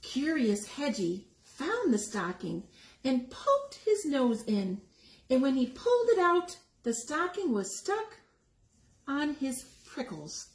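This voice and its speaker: A woman reading a picture book aloud to young children, expressively, pausing to explain the pictures and ask questions. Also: high voice, sing-song. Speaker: story time reader. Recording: echoey room with background noise.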